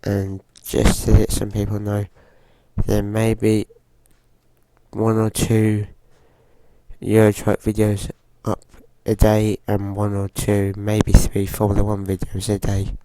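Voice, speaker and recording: A man hosting a gaming channel, giving casual gameplay commentary in halting, short phrases. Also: fairly low pitch, completely flat delivery, mellow, dry recording.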